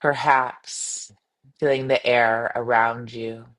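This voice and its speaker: A woman leading a guided meditation as a teacher, soothing and unhurried, giving gentle instructions with pauses between phrases. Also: very deep voice, fairly even intonation, rough recording.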